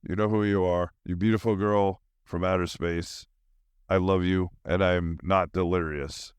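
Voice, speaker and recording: A man's voice: deep voice, steady tone, a little slow, clear, resonant tone, no echo, studio quality. A man hosting a solo podcast in a casual, rambling monologue, with a goofy manner and silly asides.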